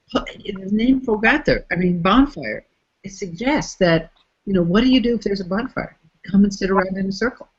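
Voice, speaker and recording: A woman's voice: fairly low pitch, breathy edge, dull audio. A woman from the United States, a facilitator being interviewed, speaking thoughtfully and searching for words as she goes. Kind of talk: reflective interview talk.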